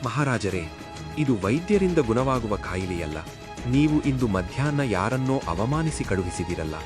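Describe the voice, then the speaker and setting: A man playing a grave royal advisor in a cartoon, ominous and dramatic. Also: on the low side.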